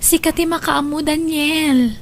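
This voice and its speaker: A woman acting a young female character, expressive and plaintive, in an Ilocano radio drama. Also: high-pitched, unhurried. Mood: casual. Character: young female, anxious girlfriend or dutiful daughter. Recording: slightly noisy radio drama track.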